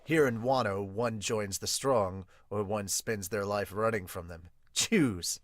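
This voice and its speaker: A man acts out a cold, calculating manga villain. He speaks in a low, measured and menacing way, laying down ultimatums. The sound is dry and close. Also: no room sound, lightly acted.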